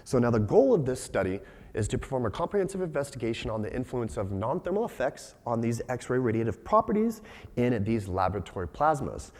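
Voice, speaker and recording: A young man and PhD candidate giving a scientific conference talk, hoarse and raspy from losing his voice, measured with frequent fillers. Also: medium pitch, big pitch swings. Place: lecture hall. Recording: long hall reverb.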